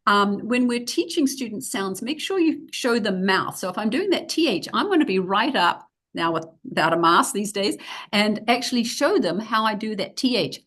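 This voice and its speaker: A middle-aged woman with a New Zealand accent talks through a slide lecture for teachers. Her pitch sits at a typical middle level, and she explains things plainly and practically, often pausing on fillers. She sounds like she is speaking into a webcam on a video call. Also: animated, fairly bright tone. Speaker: teacher trainer.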